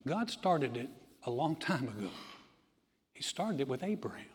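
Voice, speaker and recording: A man preaching a sermon as pastor, moving from easy conversational teaching into loud, emphatic delivery. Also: medium pitch, sing-song, moderate pace, big-room sound.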